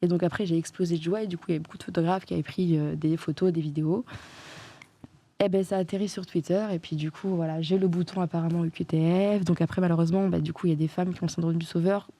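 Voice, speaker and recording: A woman's voice: on the low side, steady tone, fast talker, warm tone. A French woman talking as a guest in a casual chat, earnest and emphatic as she tells what happened to her. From France.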